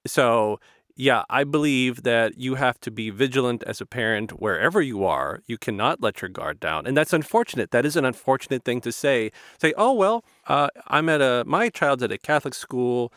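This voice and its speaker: A man speaks as the host of a conversational interview. His mid-pitched voice is recorded close and dry, without room sound. He is emphatic, restating phrases to press a point. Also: lively intonation, moderate pace, a bit bright, dry recording. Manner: emphatic, repeats phrases for stress.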